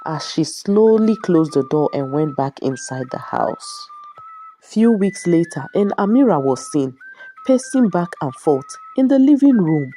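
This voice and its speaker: A woman's voice: lowish voice, big pitch swings, measured pace, dark tone, faint music behind. A woman narrating a dramatic scripted story as a storyteller.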